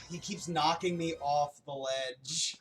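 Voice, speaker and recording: A man with a slightly high voice chats casually as he plays a game on his show. He is jokey and swears freely, and soft music runs behind him. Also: fairly even intonation, takes it slowly. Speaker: gaming video host. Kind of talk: casual chat while playing a game.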